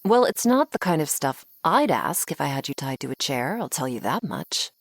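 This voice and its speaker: A woman's voice: sits low, big pitch swings, moderate pace, no echo, heavily acted. A woman playing a flirty, sardonic female vampire in an ASMR roleplay, taunting the listener playfully up close. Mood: dry, teasing. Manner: sardonic, playful, taunting, with pet names and sighs.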